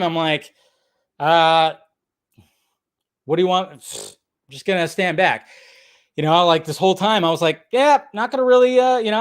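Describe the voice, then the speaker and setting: High-voiced man ranting, blunt and exasperated. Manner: exasperated, blunt, heavy swearing; emphatic repetition of phrases. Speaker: host of a live fan commentary show. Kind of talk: unscripted ranting commentary.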